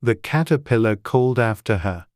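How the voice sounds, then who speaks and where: A man's voice: medium pitch, expressive, normal speed, a little mellow, no room sound. A man reading scripted audiobook narration as the storyteller, close to the microphone.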